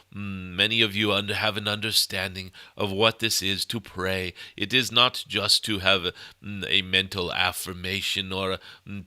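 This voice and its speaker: A man voicing a benevolent cosmic golden dragon spirit, delivering a spiritual message in formal, halting phrases punctuated by murmured hums. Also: low voice, sharp tone, clean tone, no echo, exaggerated voice. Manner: formal, otherworldly phrasing, tenderly addressing listeners. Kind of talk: channeled spiritual message, sermon-like.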